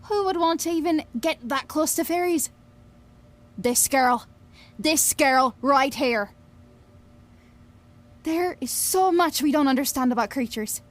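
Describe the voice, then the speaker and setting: High-voiced, brash young dreamer. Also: female voice, measured pace, long pauses. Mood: wry, longing. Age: young adult. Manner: sweary, wry, confiding. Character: Billie, brash, wistful young Scottish woman obsessed with fairies. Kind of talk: audio drama narration and dialogue.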